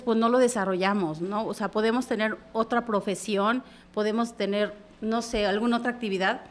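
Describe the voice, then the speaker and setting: Woman, podcast guest, chatty storytelling, acts out dialogue. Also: medium pitch. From Mexico. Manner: lively, acts out quoted dialogue, frequent tag questions. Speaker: business consultant guest. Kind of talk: casual interview storytelling.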